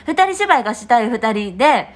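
A woman with speech co-hosts a casual talk show. Her voice sits fairly high and swings widely in pitch, and she moves along at a fast clip. She is chatty and agreeable, whether talking or reading letters. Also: big pitch swings, a crowd in the background. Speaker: podcast co-host and actress.

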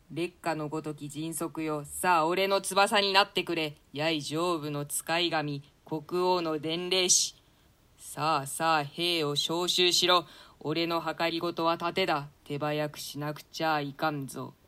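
A ruthless usurper king, acted by a woman, in a smooth, lowish voice. The delivery is theatrical and imperious, coarse in its scorn, as in a classical stage drama. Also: fairly bright tone. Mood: urgent, commanding. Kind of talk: classical stage play reading.

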